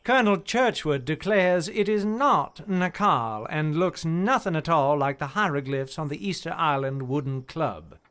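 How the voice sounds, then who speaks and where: A man in a radio play acting a formal, courteous gentleman who chairs a hearing with orderly, articulate speech. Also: on the high side, lively intonation, a little slow, hardly any pauses, clean tone.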